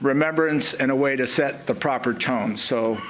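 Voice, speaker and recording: Man giving halting, reflective welcome remarks. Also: mid-range voice, fairly bright tone, a little hiss or hum. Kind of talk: informal welcome speech to an audience.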